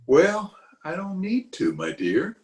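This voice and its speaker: A man's voice: sits high, wildly swooping pitch, slow, darkish tone, heavily acted. A man playing a pompous, self-justifying middle-aged uncle in an old-time radio comedy, with a blustery, chuckling manner. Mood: defensive. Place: recorded remotely over a video call.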